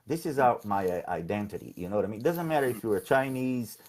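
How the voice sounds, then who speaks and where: A man from Brazil, a political candidate talking in a conversational interview, halting and often repeating his words. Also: lowish voice, expressive, darkish tone.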